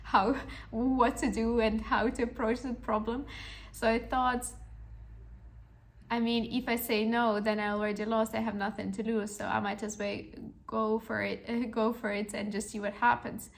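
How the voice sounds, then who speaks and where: A woman's voice: fairly high pitch, flat delivery, moderate pace, creaky. A woman in her twenties, a podcast host telling a personal story in an earnest, encouraging way with frequent restarts. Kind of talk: reflective personal storytelling monologue.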